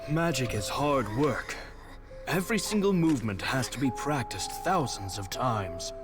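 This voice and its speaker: A man playing a gentle, patient, wise male magician mentor in a video game. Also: mid-range voice, animated, a little slow, over music, close to an everyday voice. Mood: instructive.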